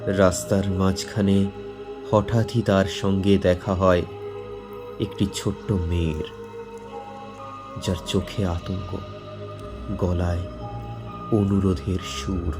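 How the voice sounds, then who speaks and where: A man presenting and narrating a scripted horror story in a measured, suspenseful, dramatic manner. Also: deep voice, little pitch movement. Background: faint background music.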